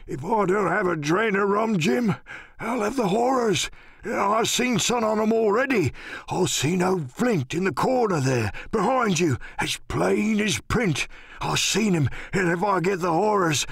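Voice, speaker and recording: Gravelly, cantankerous old captain. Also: male voice, high voice, animated, unhurried, no echo, clean studio sound, voice pushed up, heavily acted. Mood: pleading, agitated. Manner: rambling, salty seafaring talk, cursing. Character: sick, rum-craving old pirate sea captain, cantankerous and pleading. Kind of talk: audiobook radio-play character acting.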